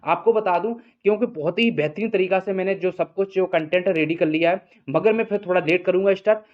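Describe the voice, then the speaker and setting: A man's voice: sits high, fairly quick. A man speaks like a coaching teacher briefing students. He explains informally, circles back over his points and keeps checking in. The recording is dull and lo-fi. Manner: repetitive, explanatory, frequent check-in tags. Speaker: exam coaching teacher and channel host. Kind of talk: informal exam update addressed to students.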